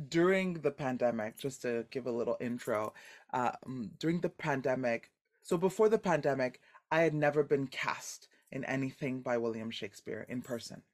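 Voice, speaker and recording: Deep-voiced woman, animated and expressive. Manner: animated, expressive, laughs often. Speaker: guest actor. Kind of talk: casual podcast interview.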